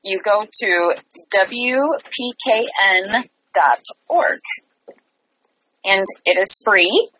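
A woman's voice: medium pitch, very slow, fairly bright tone, husky, no echo, low-quality recording. A woman speaking as a guest in a conversational interview, earnest and emphatic in the way she talks. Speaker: interview guest, theatre artistic director.

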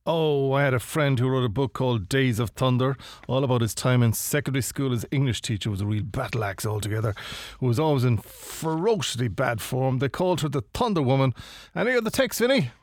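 A man's voice: medium pitch, fairly quick, a little mellow, dry recording, close to an everyday voice. An Irish man playing a self-important, put-upon late-night love-song radio presenter in a comedy radio play, bossy and dry in his delivery.

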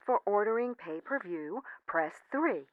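A woman playing a cable company's automated phone menu in an audio comedy, speaking in measured, chirpy, formulaic customer-service prompts over a phone line. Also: medium pitch, expressive, slight background noise, natural voice. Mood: polite, unruffled. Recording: band-limited telephone line sound.

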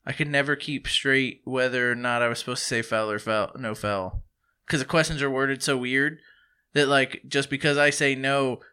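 A man's voice: medium pitch, steady tone, normal speed, sharp tone, clear, resonant tone. A man in his thirties co-hosting a podcast, telling jokey stories and swearing freely. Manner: joking storyteller, swears freely. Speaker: podcast co-host. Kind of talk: casual podcast banter.

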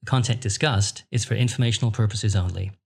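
A man's voice: lowish voice, quick pace, creaky, husky. A man reading a scripted podcast disclaimer. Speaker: disclaimer reader.

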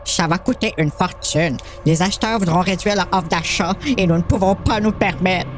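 A man's voice: high-pitched, moderate pace, soft background music, natural voice. A man voicing a suspicious, worried middle-aged father in an amateur horror story dramatization.

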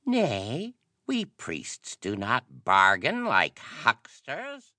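A man's voice: medium pitch, huge pitch swings, drawn-out words, sharp tone, dry recording, pitched above the performer's own voice, exaggerated voice. A man voicing a furious, imperious old arch-priest with a screeching voice in an adventure story. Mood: scornful. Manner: imperious, archaic speech.

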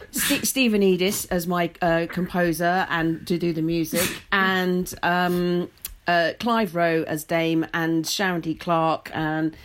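Middle-aged woman, British accent, fairly low pitch, chatty interview guest, stammering restarts. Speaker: guest, pantomime writer and director. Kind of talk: casual interview chat.

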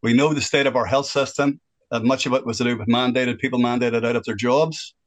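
A sixty-something man with a Northern Irish accent holds forth on a radio phone-in. He is earnest and opinionated and speaks in a middle-pitched voice. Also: steady tone, a little fast. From Northern Ireland. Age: 60s. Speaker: radio guest.

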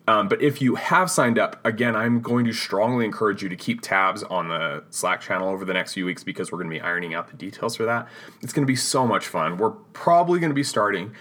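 A man's voice: on the low side, fast, pushed and bright. A man hosting a gaming podcast, giving casual, rambling announcements and often correcting himself mid-sentence. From Utah. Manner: rambling, self-correcting, chatty. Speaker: podcast host.

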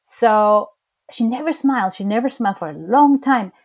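A woman's voice: on the high side, moderate pace, a little mellow. A woman from Chile with a strong Chilean Spanish accent, Spanish being her first language, telling stories in a chatty, casual conversation. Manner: chatty storyteller, restarts and laughs. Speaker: interviewee.

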